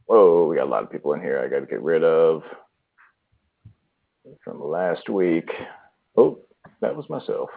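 Give me a slow-talking man host welcoming players over a tinny voice chat. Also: very deep voice, expressive, vocal fry. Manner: chatty, welcoming. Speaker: host of an online improv game. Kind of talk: casual voice-chat hosting.

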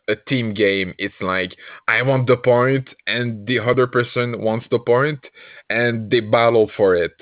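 Slow, hesitant man. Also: mid-range voice, no room sound. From Quebec, Canada. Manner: hesitant, many fillers and restarts, laughs often. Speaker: podcast guest, improv player. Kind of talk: casual chat. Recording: tinny call audio, dry.

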